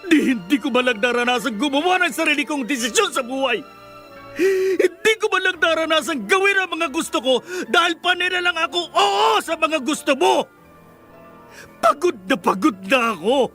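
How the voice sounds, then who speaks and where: A woman's voice: sits high, lively intonation, moderate pace, fairly bright tone, soft background music. A woman playing an anguished grown child, weary of pretending, in an emotional radio drama style. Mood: bitter, weary. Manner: emotional, pleading delivery. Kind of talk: Tagalog radio drama acting.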